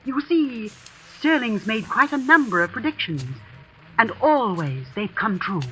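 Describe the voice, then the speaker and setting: An actress playing a shrewd, confiding school housekeeper in an old radio suspense drama, earnest and deliberate. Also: female voice, a little high, expressive, a bit bright, soft background music. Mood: grave, conspiratorial. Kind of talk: 1940s-50s radio suspense acting. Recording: noisy vintage radio recording.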